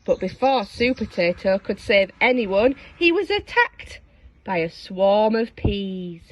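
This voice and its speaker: Expressive, slow-paced woman reading storybooks. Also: medium pitch, dull audio. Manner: dramatic, asks listeners questions. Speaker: storybook reader. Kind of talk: expressive read-aloud for young children.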